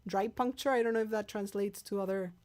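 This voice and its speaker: A woman's voice: medium pitch, steady tone, brisk, a little mellow. Mexican lady chatting on her live stream, spanish is her first language, lots of restarts and repeats. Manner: chatty and candid, many restarts and repeated words. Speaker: singer hosting a live stream. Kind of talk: casual live-stream chat with viewers.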